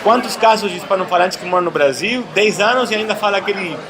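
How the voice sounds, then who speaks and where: High-pitched man, casual interviewer. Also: quick pace, a crowd in the background. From Argentina. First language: Spanish. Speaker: interviewer and host.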